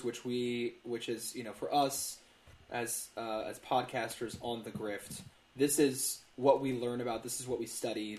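A man hosting a casual podcast, talking in a wry, meandering, halting way about the news. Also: fairly low pitch, darkish tone, reverberant, a little hiss or hum. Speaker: podcast host.

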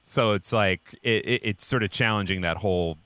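Bright-voiced man riffing, dry close sound. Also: lowish voice, normal speed, clear, resonant tone, no room sound. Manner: riffs in mock quoted voices. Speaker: podcast co-host. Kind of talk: casual comedic chat.

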